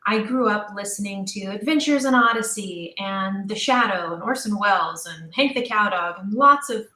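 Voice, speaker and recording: A woman talking as an interview guest about her creative work, enthusiastic, animated and emphatic. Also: mid-range voice, moderate pace. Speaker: guest, audio drama creator. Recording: roomy, echoing sound.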